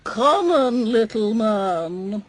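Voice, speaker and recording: A woman's voice: fairly high pitch, very animated, heavily acted. An actress voicing a haughty, vain cartoon lady who drawls in a snobbish way. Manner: snobbish and drawn-out.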